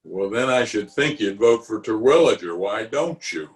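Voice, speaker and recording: A man's voice: fairly low pitch, animated, normal speed, echoey room. A man playing a pompous, easily exasperated middle-aged official in an old-time radio comedy, recorded at home over a video call. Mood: pushy. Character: blustery, exasperated middle-aged male water commissioner, pompous and opinionated.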